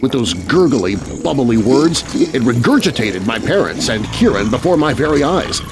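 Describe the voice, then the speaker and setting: Expressive man narrating a scary story, animated pitch. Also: moderate pace, noisy background. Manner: expressive, suspenseful. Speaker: narrator, voice artist. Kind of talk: scripted dramatic narration of scary children's story.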